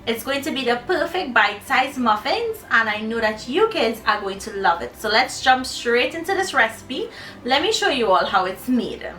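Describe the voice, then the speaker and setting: Higher-pitched woman teaching a recipe over music. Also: expressive, moderate pace, a bit bright. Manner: instructive, addresses children directly. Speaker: cooking presenter for children. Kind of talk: friendly recipe demonstration.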